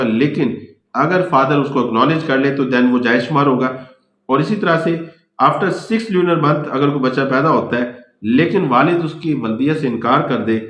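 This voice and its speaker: A man with a Pakistani accent giving a law lecture, reading out points deliberately and then explaining them. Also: mid-range voice, a bit bright. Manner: deliberate reading in English, switching to quicker Urdu explanation. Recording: echoey room.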